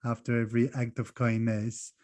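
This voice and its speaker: A man's voice: mid-range voice, flat delivery, moderate pace, darkish tone. A man hosting a podcast, recapping his guest's points step by step. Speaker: podcast host. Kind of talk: podcast interview.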